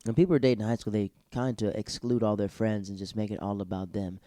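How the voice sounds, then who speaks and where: A man's voice: on the low side, steady tone, fast, dark tone, dry recording. A young man hosting his own podcast alone, reviewing a TV episode in a rambling, slangy, digressive way. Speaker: solo podcast host.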